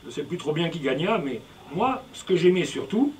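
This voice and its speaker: A man's voice: on the high side, sing-song, normal speed, slight background noise. A man performing punning, rhythmic spoken-word poetry on stage, recorded live. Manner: playful punning, declamatory and rhythmic. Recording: distant live recording with music.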